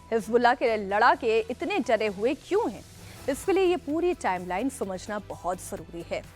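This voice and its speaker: High-pitched, urgent woman news anchor. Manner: emphatic, urgent delivery with rhetorical questions. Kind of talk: dramatic news narration.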